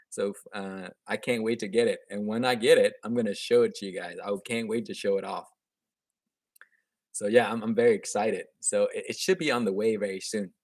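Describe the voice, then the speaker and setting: A man in his thirties speaks in a fairly low voice with a slight Vietnamese accent. He chats with viewers in a relaxed, upbeat way, often restarting his sentences. He talks into a webcam in a room at home, and the sound is dry and close. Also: dry recording. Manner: chatty and upbeat, frequent restarts and chuckles. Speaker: gardening channel host. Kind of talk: casual live stream chat answering viewer comments.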